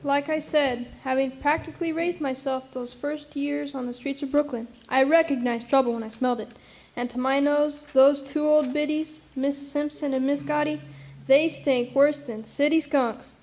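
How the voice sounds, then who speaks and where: High-voiced streetwise New orphan played by a woman. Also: fairly even intonation, moderate pace, a little mellow. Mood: wry. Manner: folksy, wry, colloquial. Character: streetwise Brooklyn orphan child narrating with plucky frankness. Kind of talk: storytelling narration in radio drama.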